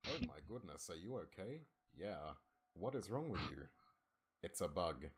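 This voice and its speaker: A man's voice: low voice, very animated, moderate pace, breathy edge. An Australian man doing an informal read-aloud of a script as an amateur narrator, voicing the dialogue himself.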